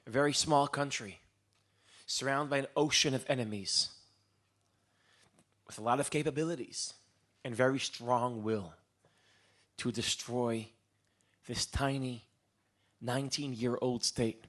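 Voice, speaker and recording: A man from Israel giving a live lecture as a storyteller, posing rhetorical questions and pausing for dramatic emphasis. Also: medium pitch, fairly even intonation, fairly bright tone. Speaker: public speaker, lecturer.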